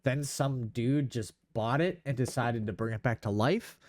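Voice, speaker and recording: A man's voice: mid-range voice, moderate pace, darkish tone, no echo, clean studio sound. A young man in casual gaming chat, speaking in a slangy, enthusiastic way. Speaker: podcast host.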